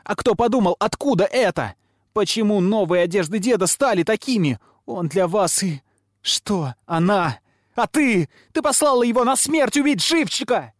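A man's voice: high voice, animated, moderate pace, hardly any pauses, dry recording, clean studio sound, pitched above the performer's own voice. A man voicing a defiant young girl in an audiobook story, shrieking and tearful with indignation. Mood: shrill indignation. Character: furious, defiant, weeping young girl named Flame.

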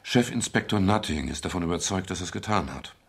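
An actor playing a dry, weary, sardonic private detective in a 1970s German radio crime drama, in dialogue and first-person narration. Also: male voice, sits low, fast, fairly bright tone, husky, full character voice. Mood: calm, probing. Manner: laconic, sardonic.

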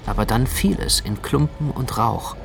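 An actor playing an awed witness in a dramatic literary radio narration. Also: male voice, medium pitch, moderate pace, natural voice. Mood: awed, intense. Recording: clear music behind the voice.

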